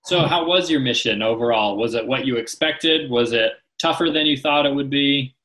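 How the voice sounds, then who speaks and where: A man's voice: medium pitch, normal speed, a bit bright, rough recording. A man interviewing a guest, asking questions casually and often stumbling and repeating words as he starts.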